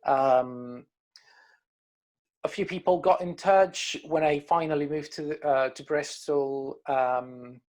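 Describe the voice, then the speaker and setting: Man, hesitant and halting. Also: on the high side, fairly even intonation, a little mellow. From Caribbean coast of Colombia. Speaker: band musician being interviewed. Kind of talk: casual interview chat.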